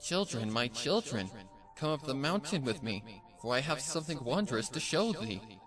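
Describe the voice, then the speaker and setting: A man's voice: mid-range voice, sing-song, normal speed, over music, pitched above the performer's own voice, lightly acted, low-quality recording. A man voicing an eerie spirit that mimics a mother, beckoning children in archaic, coaxing speech.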